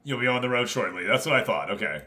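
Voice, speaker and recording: A man's voice: medium pitch, expressive, fast talker, sharp tone. A man hosting an online football management game league, chatting casually and informally with his viewers as he commentates.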